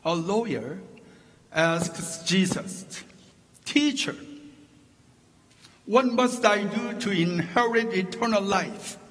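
A man with a Korean accent preaches a sermon from the pulpit, his first language being Korean. His voice sits high and he takes his time, building his points through deliberate lists. The sound carries the long reverb of a church sanctuary. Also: lively intonation, a bit bright. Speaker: pastor.

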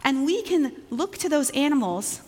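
A woman speaks to young children in a church with long reverb. Her voice is a bit high, and she talks gently and informally, pausing between simple phrases. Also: big pitch swings. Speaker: church leader giving children's moment. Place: large indoor church.

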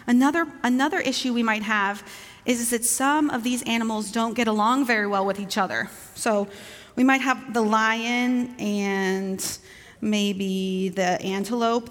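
Female church children's leader: slightly high voice, gentle, simple, informal phrasing, reverberant hall. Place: large indoor church.

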